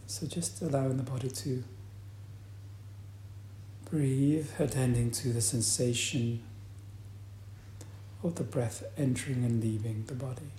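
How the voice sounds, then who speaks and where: Slow, mellow-voiced man guiding meditation. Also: medium pitch. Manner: calm, deliberate, with long pauses. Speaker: meditation teacher. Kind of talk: guided meditation. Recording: roomy echo, faint background noise.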